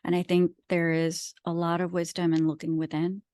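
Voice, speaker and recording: Low-pitched woman from Pakistan, earnest and reflective. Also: completely flat delivery, moderate pace, a little mellow, clear, resonant tone, no echo, studio quality. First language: not English; English is her second language. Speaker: author and podcast guest. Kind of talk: reflective interview conversation.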